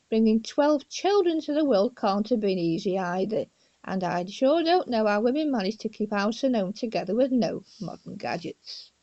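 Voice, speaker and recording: A woman with a fairly high, very melodic voice narrates a life story from her own book. She reads deliberately and drifts into informal asides to the listener. The sound is slightly dull and lo-fi, like a homemade audiobook. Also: dark tone. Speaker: author reading own biography aloud.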